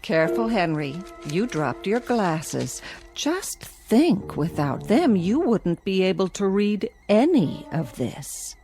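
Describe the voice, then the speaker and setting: Domineering, swooping American nagging wife. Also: female voice, medium pitch, big pitch swings, takes it slowly, warm tone, soft background music. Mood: coldly menacing. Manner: domineering, cutting, mock-polite. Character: cruel, nagging middle-aged wife, icily domineering. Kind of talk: scripted radio drama acting.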